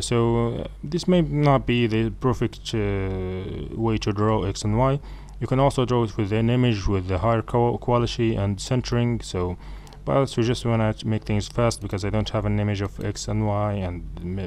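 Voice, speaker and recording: Man, Arabic first language, coding tutorial voice-over, lowish voice, halting with fillers, faint hiss. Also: a little mellow. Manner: halting, many fillers and self-corrections. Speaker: programming tutor. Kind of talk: screen-recorded coding tutorial.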